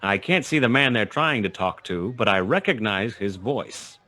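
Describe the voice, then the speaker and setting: Low-voiced, wry hard-boiled detective. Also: male voice, lively intonation, normal speed, bright, forceful tone. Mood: wry narration. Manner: dry, sardonic. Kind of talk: scripted noir radio drama narration.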